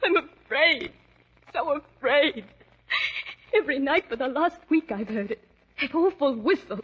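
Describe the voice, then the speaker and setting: A woman's voice: high-pitched, expressive, measured pace. An actress playing a frightened, frantic young woman in a breathless, pleading 1940s radio drama style. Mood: fearful, distressed. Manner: breathless, pleading, repeats herself anxiously. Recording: noisy muffled 1940s radio recording.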